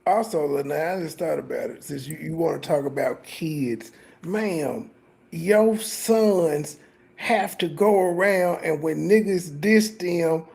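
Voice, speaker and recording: A man's voice: on the high side, slow pace, vocal fry, darkish tone. A man on a livestream panel arguing bluntly and combatively, often tacking on "ma'am". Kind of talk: heated livestream panel talk.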